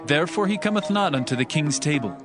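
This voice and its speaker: A man's voice: a little high, lively intonation, fast talker, lightly acted. A man playing a loyal young prince in a dramatized Bible reading, speaking solemnly and earnestly in declamatory archaic English. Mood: respectful explaining. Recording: background music under the voice.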